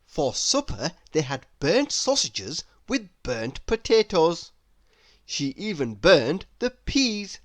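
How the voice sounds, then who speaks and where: A woman reading a children's story aloud in an expressive, deliberate, theatrical way. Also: low-pitched, no echo. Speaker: storybook reader.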